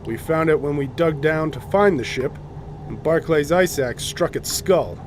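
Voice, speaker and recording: A man's voice: fairly high pitch, unhurried, background noise, close to an everyday voice. An actor playing a serious male expedition officer, giving a firm, earnest briefing in a radio drama. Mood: grave, explanatory. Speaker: second in command of a polar expedition.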